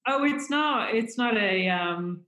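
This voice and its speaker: A woman's voice: on the high side, expressive, takes it slowly, a bit bright, roomy sound. A woman with an Irish accent chatting casually and at length, with plenty of fillers. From Ireland. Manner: chatty, rambling with many fillers. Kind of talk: casual chat.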